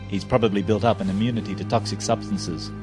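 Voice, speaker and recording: An actor playing a cold, calculating villain who speaks in a clipped, commanding way. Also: male voice, fairly low pitch, fairly even intonation, fast, over music, lightly acted. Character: cold calculating male villain giving orders.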